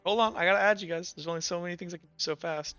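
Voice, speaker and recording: A man's voice: high voice, racing, bright, forceful tone, clean tone, no echo, lo-fi sound. A young man speaking casually as game master, improvising narration for a tabletop game. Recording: slightly boxy audio, music behind. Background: music.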